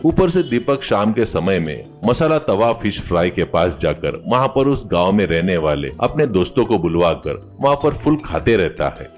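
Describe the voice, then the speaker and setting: A man's voice: low-pitched, big pitch swings, moderate pace, hardly any pauses, soft background music. A man narrating a scripted comic moral story as a storyteller.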